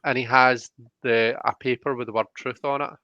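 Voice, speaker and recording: A man's voice: mid-range voice, flat delivery, normal speed, sharp tone, clear, resonant tone, no echo, muffled recording. A man giving a conversational tarot reading, explaining each point in a reflective, searching way. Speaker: tarot reader.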